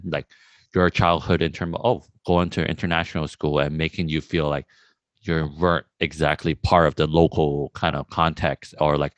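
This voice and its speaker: Very deep-voiced male podcast host. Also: no room sound. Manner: rambling questions full of "like". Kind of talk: casual interview questions.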